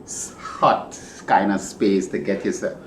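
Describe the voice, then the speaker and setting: A man hosting a podcast, interviewing a guest in a casual, rambling and animated way. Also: low voice, a little slow. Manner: animated, rambling, laughs easily. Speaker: podcast host. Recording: echoey room with background noise.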